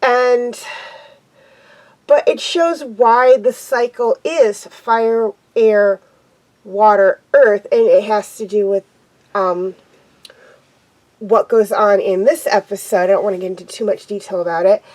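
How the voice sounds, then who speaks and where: A young woman with a cold and a stuffed-up, nasal voice, hosting a casual TV episode review and talking haltingly with many pauses and ums. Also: mid-range voice, fairly even intonation, darkish tone, rough recording. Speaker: show host reviewing TV episodes.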